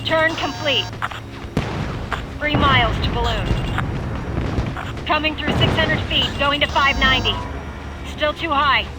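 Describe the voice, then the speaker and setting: A woman acting a determined military aircraft commander in a video game, giving crisp, clipped orders. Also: sits high, unhurried, long pauses, very noisy. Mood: commanding, focused. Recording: loud music behind the voice.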